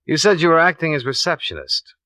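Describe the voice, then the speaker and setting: A man's voice: a little high, very animated, fast talker, bright, forceful tone, no room sound. A man playing a stern, relentless insurance investigator in 1950s radio drama style, talking in a clipped, matter-of-fact way. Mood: stern, probing.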